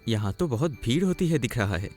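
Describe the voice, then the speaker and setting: A man playing an earnest, dutiful young village man in a cartoon story, gentle, reassuring and expressive. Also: medium pitch, fairly quick, music in the background, natural voice. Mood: polite, curious. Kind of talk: Hindi cartoon story acting.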